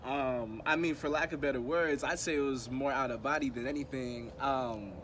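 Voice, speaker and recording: A man's voice: mid-range voice, fairly even intonation, normal speed. Laid-back young man, traffic behind. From United States. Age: 20s. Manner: laid-back, reflective, many fillers like um and you know. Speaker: rapper being interviewed. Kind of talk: casual conversation. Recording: outdoor traffic noise.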